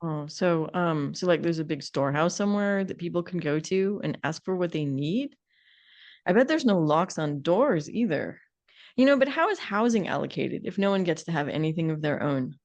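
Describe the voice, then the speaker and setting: A woman's voice: fairly low pitch, animated, normal speed, a little mellow. A woman co-hosting a podcast, talking casually and informally with a sardonic, opinionated edge. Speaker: podcast co-host. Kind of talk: casual conversational discussion.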